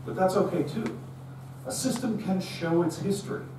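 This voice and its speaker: A man's voice: sits high, normal speed. A middle-aged man giving a conversational, storytelling technical talk to a meetup audience, recorded from a distance. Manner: conversational storytelling, acts out quoted dialogue. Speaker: conference speaker. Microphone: room recording from far camera. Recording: roomy, distant, faint hum.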